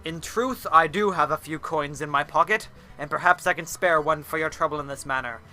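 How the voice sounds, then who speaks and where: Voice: mid-range voice, fairly quick, bright, forceful tone, soft background music, natural voice. A storyteller voicing a noble, earnest male hero with declamatory, formal phrasing.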